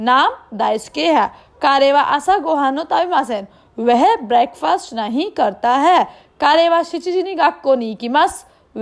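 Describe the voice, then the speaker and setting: High-pitched Indian woman teacher, unhurried. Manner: didactic, asking questions and answering them. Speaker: language teacher. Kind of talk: explanatory lesson with translations.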